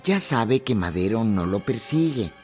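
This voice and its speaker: A man delivers scripted radio drama narration. He speaks slowly with lively, swinging intonation, over faint music. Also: on the low side.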